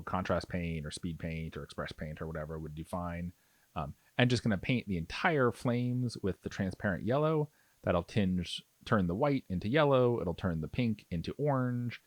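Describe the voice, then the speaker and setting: A man's voice: low voice, no room sound. A man narrating a hands-on tutorial in a casual, conversational way as the host. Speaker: miniature painting tutorial host.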